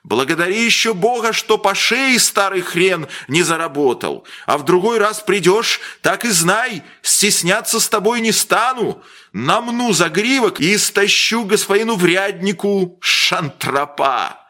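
A man doing a gruff threatening yard keeper. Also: high voice, lively intonation, measured pace, pushed and bright, voice pushed up, heavily acted. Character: gloomy menacing male yard keeper.

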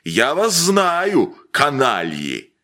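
A man voicing a fat, pompous gentleman doctor who drawls in a bossy, bullying bass. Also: huge pitch swings, very slow, heavily acted.

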